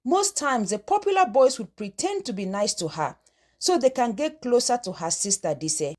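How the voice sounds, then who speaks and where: A woman's voice: a little high, big pitch swings, moderate pace, darkish tone. A woman narrating a scripted folktale as the storyteller.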